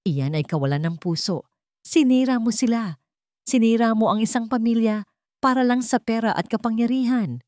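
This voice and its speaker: A woman's voice: fairly high pitch, normal speed, darkish tone, no room sound, studio quality, pitched above the performer's own voice, close to an everyday voice. A woman voicing a wounded, furious young daughter in a dramatic audio story, bitter and accusing. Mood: angry, pained. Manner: bitter, accusing, trembling with anger and grief.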